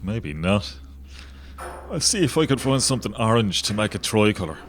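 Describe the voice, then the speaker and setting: An actor playing a dry, laid-back Irish rebel volunteer in an audio play, with an Irish accent. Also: male voice, fairly low pitch, very animated, moderate pace, fairly bright tone.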